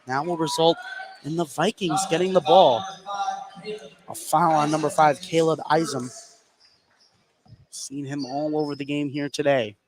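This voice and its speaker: An American man doing live basketball play-by-play, getting excited and stretching his words on big plays. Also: fairly high pitch, measured pace, pauses often, a crowd in the background. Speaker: sports play-by-play announcer. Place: indoor high school gym. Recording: clear gym noise behind the voice. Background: gymnasium ambience.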